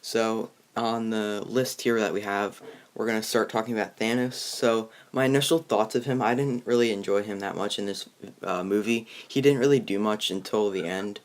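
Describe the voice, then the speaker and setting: A young man with a fairly low voice hosts an informal movie discussion. He rambles in a halting way, feeling out his thoughts as he goes. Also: steady tone. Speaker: host of a movie review video. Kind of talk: casual chat reviewing a film.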